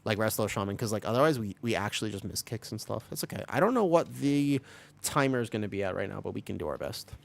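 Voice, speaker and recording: Man, raspy voice, live gameplay commentary, chatty, explains tactics, keyboard typing. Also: on the low side, lively intonation, fast. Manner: chatty, explains tactics while playing, many fillers. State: recovering from being sick, raspy voice. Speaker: streamer playing a tank.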